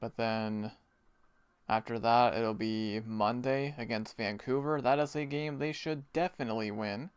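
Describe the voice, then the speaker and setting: A man's voice: mid-range voice, fairly even intonation, measured pace, smooth, no echo. A Canadian man hosting a live hockey watch party, chatting casually, explaining the game and chuckling often. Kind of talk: casual live-stream chat.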